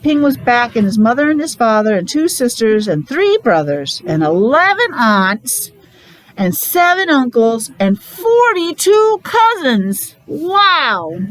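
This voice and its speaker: Animated high-voiced woman reading a storybook. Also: rough recording. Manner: expressive, explains and asks the listeners questions. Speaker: teacher reading a picture book to children. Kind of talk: animated read-aloud storytelling with asides to young children.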